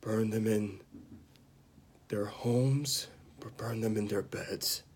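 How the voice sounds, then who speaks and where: Guy acting a bitter disgraced knight, low voice, dramatic monologue w whispery bits, bit of background noise. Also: steady tone, a little mellow. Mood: grave, confessional. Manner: halting, dramatic, drops into whispers. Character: bitter disgraced knight confessing killing a mad king.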